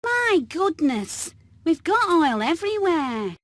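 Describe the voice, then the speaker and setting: A woman's voice: very high-pitched, big pitch swings, slow pace, dry recording, studio quality, exaggerated voice. A woman voicing a brainy, enthusiastic inventor hen in a cartoon video game.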